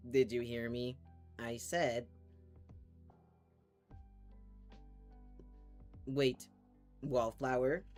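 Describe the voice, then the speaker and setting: A man's voice: mid-range voice, lightly acted. A man voicing a possessive, cocky young playboy lover with a menacing edge, in a romantic audio roleplay. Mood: groggy, demanding. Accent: Southern US. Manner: domineering, profane.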